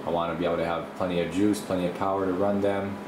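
Male video host, deepish voice, rambling informal delivery, thinking out loud in a roomy workshop with clear steady hum. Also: fast. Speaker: video host, radio repair technician. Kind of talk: casual workshop tour, talking off the cuff. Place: small indoor home workshop. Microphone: camera mic, speaker behind camera.

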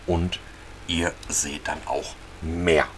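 A man casually talking viewers through a fishing video game, relaxed and chatty. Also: extremely low pitch, extremely slow, a little hiss or hum. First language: German. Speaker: gaming channel host. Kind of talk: casual let's-play commentary.

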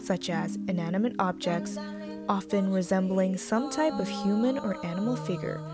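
A woman reading a scripted documentary narration in a measured, explanatory way. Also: mid-range voice. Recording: voice-over with music bed.

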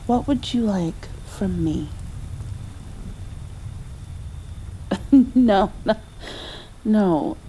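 A gentle, loving mother acted by a woman soothes her heartbroken child in an ASMR roleplay. Her delivery is very unhurried and soft, with a mellow, dark tone, over audible background ambience. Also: medium pitch, a little hiss or hum, lightly acted. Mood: warm, affectionate. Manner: tender, soothing, sometimes dropping toward a whisper. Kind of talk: ASMR comfort roleplay, slow and hushed with pauses.

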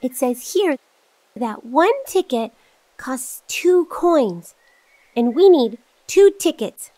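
Casting: a very high-pitched, cheerful little girl for a preschool cartoon, animated, helpful, encouraging. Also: full character voice, poor audio. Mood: friendly, explaining. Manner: slow, simple, encouraging, pausing after key words. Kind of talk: children's cartoon dialogue addressed to young viewers.

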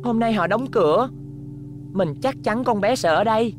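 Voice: mid-range voice, normal speed, over music, natural voice. An actor voicing an earnest, polite young robot boy hero with a boyish manner in a cartoon dub.

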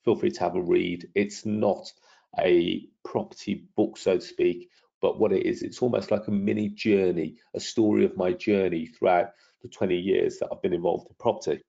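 Croaky middle-aged man presenting. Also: low-pitched, fairly even intonation, normal speed, dark tone. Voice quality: gravelly, husky. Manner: persuasive, self-promoting, asks the audience questions. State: croaky from lingering illness. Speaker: property trainer hosting a webinar. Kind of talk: webinar presentation over slides. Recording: dull lo-fi webinar audio.

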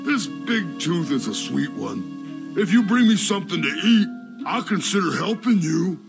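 Huge self-pitying game troll: creaky, highish for a man, dim and plaintive, over music. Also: very animated, a little slow, full character voice. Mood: rambling, grumbling. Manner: plaintive, talks of himself in third person. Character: huge slow-witted self-pitying stone bridge troll. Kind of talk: animated fantasy game acting. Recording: music behind the voice.

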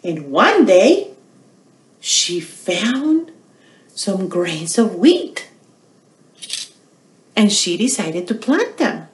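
A middle-aged woman hosts a bilingual storytime for young children in a small, echoey room. Her pitch moves in lively swings and her pace is unhurried. She speaks deliberately, posing questions and repeating phrases like a teacher. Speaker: children's storytime host at a public library. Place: small quiet indoor room.

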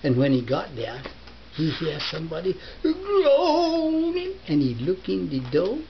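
Elderly man storytelling, very lively pitch. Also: measured pace, creaky. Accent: put-on American Southern dialect. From northern United States. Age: older, 70s. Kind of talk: animated reading of a folk tale in dialect. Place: book-lined living room. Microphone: camera a short distance away. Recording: muffled home camera recording with hiss.

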